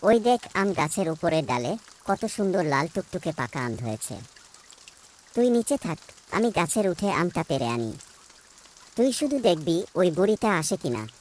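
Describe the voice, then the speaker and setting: A woman's voice: fairly low pitch, big pitch swings, normal speed, darkish tone, low-quality recording. A woman voicing a bold young village schoolboy in a cartoon story. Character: village schoolboy, bold, hardworking son of a poor farmer.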